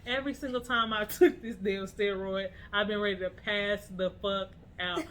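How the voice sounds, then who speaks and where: A young woman co-hosting a podcast in a relaxed, slangy way, often breaking into laughter. Her voice sits at a middle pitch, with a faint hum of room ambience behind her. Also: normal speed. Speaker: podcast co-host. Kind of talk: casual chat.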